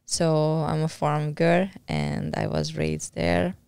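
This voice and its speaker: A woman with a Hungarian accent speaks in a lowish, gravelly but smooth voice, friendly and quick to laugh. She sometimes hunts for the right English word. Her voice is close on a studio mic and completely dry. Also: flat delivery, darkish tone, no echo. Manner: friendly, laughs easily, searches for words. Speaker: guest, judo athlete. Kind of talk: casual interview. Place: small podcast studio. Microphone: boom-arm studio mic with headphones.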